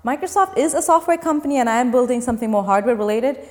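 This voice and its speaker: A woman's voice: high-pitched, lively intonation, fast talker, darkish tone. A young woman from Dubai, a startup founder, answering interview questions in an earnest, step-by-step way. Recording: long reverb.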